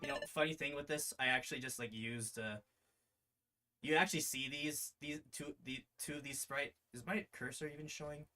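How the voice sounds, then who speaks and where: A man hosting a livestream read-along in a casual, halting, self-deprecating way. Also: fairly high pitch, fairly even intonation, bright, forceful tone, soft background music. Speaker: streamer hosting a live reading of their own series.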